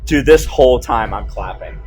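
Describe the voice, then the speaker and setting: A man giving excited, casual film commentary in slangy, exclamatory bursts as a host. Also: mid-range voice, normal speed, breathy edge. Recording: music playing behind the voice.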